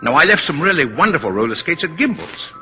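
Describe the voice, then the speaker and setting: A man's voice: fairly high pitch, big pitch swings, fast talker, soft background music. An actor playing a kindly, jolly old man who insists he is Santa Claus, in 1940s radio style. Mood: jolly, reassuring. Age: older. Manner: jolly, gentle, courteous. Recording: dull old 1940s radio recording, reverberant hall.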